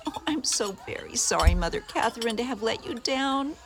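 A woman playing an eager, apologetic nun in a community radio play, earnest and expressive. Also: on the high side, moderate pace, music in the background.

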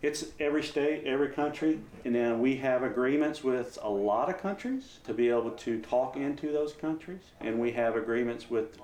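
A man's voice: mid-range voice, normal speed, few pauses, darkish tone. A man speaking casually as an amateur radio operator being interviewed, explaining how things work. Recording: roomy echo, some background noise.

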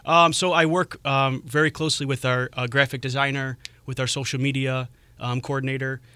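A man being interviewed on a radio show. His voice is smooth and resonant, with a bright edge. He answers in a friendly but halting way, with plenty of ums. The studio mic is close and dry. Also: mid-range voice, level tone, no echo. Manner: hesitant, lots of "um"s, friendly. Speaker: restaurant creative director, radio guest. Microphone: studio microphone.

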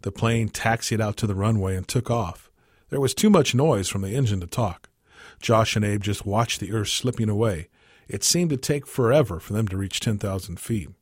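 A man with a husky voice reading a scripted short story as narrator. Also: fairly low pitch, moderate pace, no echo, studio quality. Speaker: story reader.

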